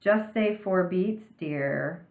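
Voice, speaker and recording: A woman's voice: on the low side, very slow, narrow, tinny audio. A reader, a woman, plays a patient lady music teacher in a storybook. She instructs and questions her pupil. The recording is roomy and band-limited. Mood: instructive.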